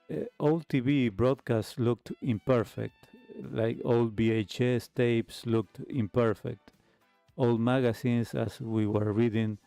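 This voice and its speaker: A man hosting a retro radio talk show, speaking in a reflective, halting monologue to his listeners. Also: medium pitch, mellow, no echo. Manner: hesitant, halting phrasing with pauses. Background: background music.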